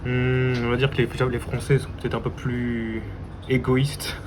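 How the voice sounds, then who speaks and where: A man's voice: medium pitch, little pitch movement, unhurried. A man from the Paris suburbs answering interview questions, joking around with hesitant fillers. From Paris suburbs, France. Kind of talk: casual street interview answers. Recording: clear background noise.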